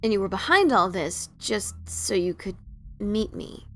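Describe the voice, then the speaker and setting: A woman's voice: medium pitch, animated, a little slow, fairly bright tone. A woman voicing a brash, skeptical pony adventurer, blunt and informal, in a fan fiction dramatic reading. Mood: stunned, suspicious.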